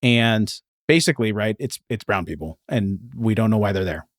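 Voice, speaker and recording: A man's voice: fairly low pitch, lively intonation, moderate pace, no room sound, clean studio sound. A man hosting a podcast, telling stories and explaining history in an informal, digressive way with frequent asides. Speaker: podcast host. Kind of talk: casual explanatory storytelling about film and history.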